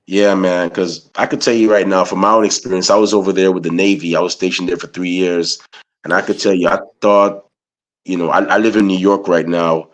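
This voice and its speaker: A man from Guyana with a very low voice hosts a casual interview. He rambles his way into each question, padding it with "you know" and "man". He sounds easygoing and chatty. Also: level tone, a little fast, poor audio. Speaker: interviewer, podcast host.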